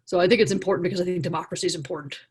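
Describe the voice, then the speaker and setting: A woman's voice: fairly low pitch, steady tone, racing, darkish tone, a little hiss or hum. A woman, a professor, giving a spoken interview answer. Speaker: political science professor interviewee.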